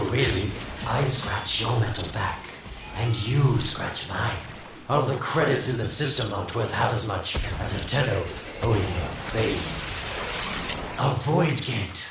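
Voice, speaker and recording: Smug, gravelly, drawling schemer. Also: male voice, mid-range voice, measured pace. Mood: slyly persuasive. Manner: drawling, teasing, theatrical. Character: sly, smug male merchant villain, oily and mocking. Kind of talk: video game voice acting. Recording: very echoey, distant, music behind.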